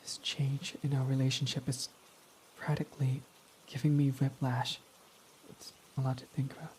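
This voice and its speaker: A young man playing a guarded male villain who confesses his love, speaking softly and often sinking to a whisper in a romantic roleplay. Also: medium pitch, level tone, normal speed, a little hiss or hum, natural voice. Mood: soft, affectionate. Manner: soft-spoken, often dropping to a whisper. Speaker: ASMR roleplay voice actor.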